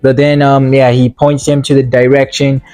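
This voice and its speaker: A man with a medium-pitched voice talks through a movie review in a loose, casual way. He stumbles and repeats words as he goes, slipping into mock-earnest sarcasm. Music plays behind him. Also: little pitch movement, dark tone. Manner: halting, stammering delivery with repeated words. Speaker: video reviewer.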